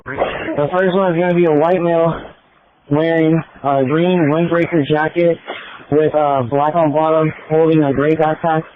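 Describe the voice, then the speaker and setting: A man's voice: a little high, level tone, normal speed. A man working as a transit police officer, giving terse incident reports over a radio from the scene. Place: out at a train station incident. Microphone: handheld police radio. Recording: narrow crackly police radio with static.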